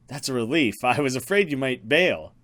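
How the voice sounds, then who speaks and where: A man's voice: medium pitch, expressive, moderate pace, a bit bright, close to an everyday voice. A man voicing an anxious, easily scared young woman in a visual novel, in an earnest and uneasy manner. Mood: relieved. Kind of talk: visual novel dialogue read aloud.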